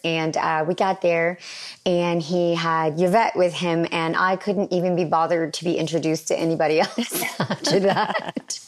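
Hoarse, raspy woman co-hosting. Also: lowish voice. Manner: animated storyteller, laughing. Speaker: podcast co-host. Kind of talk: casual chat.

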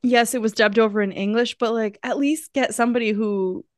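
A woman's voice: fairly high pitch, steady tone, fairly quick, dry recording, clean studio sound. A young woman co-hosting a podcast, chatting casually with many fillers and restarts. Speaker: podcast co-host. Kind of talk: casual chat.

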